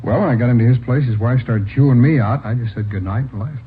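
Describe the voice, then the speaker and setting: An American actor playing an anxious, respectful young policeman in 1950s radio drama style, halting and pleading. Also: medium pitch, lightly acted. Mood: earnest, explaining. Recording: old radio, narrow band, noisy.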